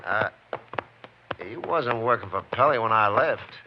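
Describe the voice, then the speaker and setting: An actor playing a wary, curt, evasive ranch hand in a 1950s radio western. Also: male voice, fairly low pitch, expressive, a little slow. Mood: surly, defiant. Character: wary, surly drifting ranch hand on the run. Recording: noisy muffled 1950s radio recording.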